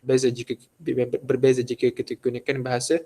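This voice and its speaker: A young man from Kelantan giving a halting class presentation, full of fillers and restarts. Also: medium pitch, completely flat delivery, dark tone. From Malaysia, Kelantan. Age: young adult. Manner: hesitant, many fillers and restarts. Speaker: student presenter.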